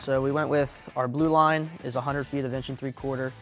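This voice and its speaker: A male fire chief explains things in a practical, matter-of-fact manner. His medium-pitched voice moves quickly and plainly, as in an interview, with faint music behind it. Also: little pitch movement, a bit bright. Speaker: volunteer fire battalion chief.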